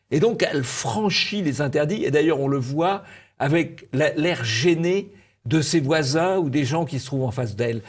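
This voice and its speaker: A man giving conversational political commentary in halting, repeated phrases. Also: a little high, breathy edge. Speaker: media commentator.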